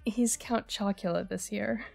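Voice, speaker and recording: A woman's voice: medium pitch, steady tone, unhurried, gravelly, darkish tone, soft background music. A woman playing a good-natured, bookish young partygoer in a naturalistic audio drama. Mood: amused.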